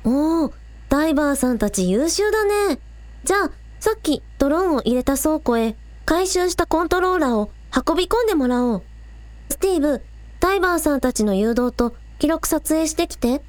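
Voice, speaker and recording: A woman's voice: sits high, expressive, fairly quick, no echo. A woman playing an earnest, anxious teenage village idol girl in an audio drama. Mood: bright, curious. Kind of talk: Japanese audio drama acting.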